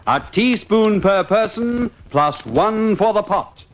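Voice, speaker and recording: A man reading an old-time radio commercial in a measured, persuasive way. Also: high-pitched, animated. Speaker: advertisement announcer.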